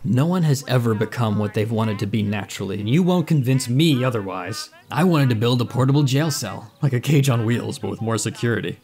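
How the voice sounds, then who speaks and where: An actor playing a cocky, smooth-talking inventor who teases and boasts in an audio drama. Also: male voice, medium pitch, expressive, a little fast, hardly any pauses, a little mellow, slight background noise, close to an everyday voice. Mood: boastful. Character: cocky, glib inventor and self-styled man of science.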